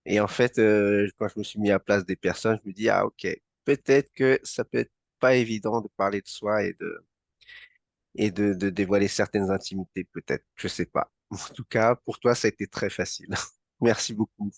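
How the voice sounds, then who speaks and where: Male host, low voice, dry close sound, halting delivery with many fillers. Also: steady tone, vocal fry, dry recording. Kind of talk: interview hosting.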